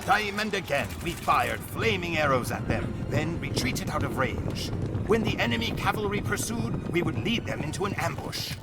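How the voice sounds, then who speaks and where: Grave Mongol warrior storyteller, dramatically inflected. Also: male voice, a little high, big pitch swings, moderate pace. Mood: proud, fierce. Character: solemn, proud Mongol warrior recounting his people's conquests. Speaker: campaign narrator. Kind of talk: dramatic scripted cutscene narration. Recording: music behind the voice. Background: music.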